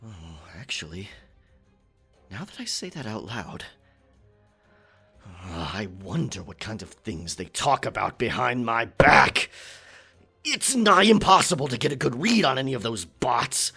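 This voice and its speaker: A man playing a boisterous, rum-swigging pirate captain in a roleplay audio drama, with a gravelly voice, theatrical yet hesitant, muttering in pirate slang. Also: mid-range voice, big pitch swings, unhurried, pauses often, bright, forceful tone, soft background music, full character voice. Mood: musing.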